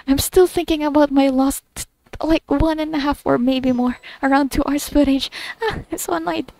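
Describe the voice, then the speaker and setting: A woman's voice: high voice, fairly even intonation, normal speed, vocal fry, darkish tone, no room sound, rough recording. A woman gamer giving casual, jokey commentary and rambling as she thinks aloud while playing.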